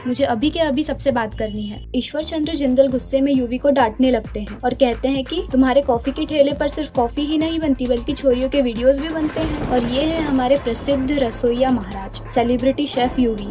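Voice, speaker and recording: A woman briskly retelling a TV serial episode, getting louder when she quotes the characters. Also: sits high. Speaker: show recap narrator. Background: background music.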